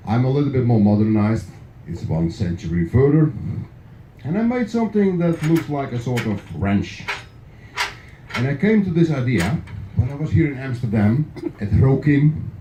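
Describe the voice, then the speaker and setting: A middle-aged Dutch-accented man demonstrates his craft, cracking jokes and chuckling between remarks. His voice is very dark and his pitch swings lively. The recording is echoey and a bit dull, as in a workshop. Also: slight background noise. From Netherlands. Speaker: clog maker. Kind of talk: live craft demonstration for visitors.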